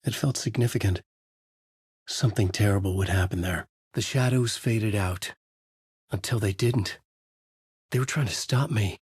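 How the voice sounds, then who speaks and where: A man's voice: lowish voice, normal speed, pauses often, a little mellow, breathy edge, no room sound, clean studio sound. A middle-aged man narrating a video game as a brooding writer, in a noir-like inner monologue. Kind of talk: hard-boiled game narration.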